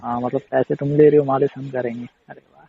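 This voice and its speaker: A man's voice: medium pitch, level tone, fast, creaky, lightly acted. A man playing a regular client on a casual phone call, argumentative but caring, pressing his point and repeating himself with questioning tags. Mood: teasing, bargaining. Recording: muffled, noisy phone-call recording with clicks.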